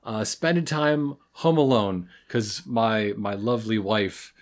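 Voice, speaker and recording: A man's voice: fairly low pitch, slow pace. A man co-hosting a podcast in casual, teasing banter, often wandering off into asides. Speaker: podcast co-host.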